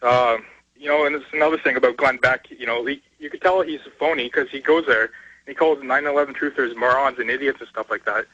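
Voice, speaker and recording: A man from Canada talks quickly and casually as a radio phone-in caller. His voice is bright and comes over a dull, lo-fi phone line. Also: medium pitch, steady tone, dry recording. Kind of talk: casual opinion chat. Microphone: telephone.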